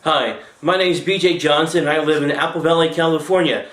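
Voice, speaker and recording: A man's voice: fairly high pitch, steady tone, a little fast, fairly bright tone. A man in his thirties giving a rehearsed self-introduction to camera for an audition tape, repeating it in several takes. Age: 30s. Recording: roomy echo.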